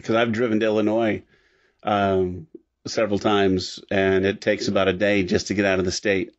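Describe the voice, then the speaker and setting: A man co-hosting a podcast, chatting casually and a little haltingly about old-time radio. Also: lowish voice, little pitch movement. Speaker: podcast co-host. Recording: slightly dull, lo-fi audio.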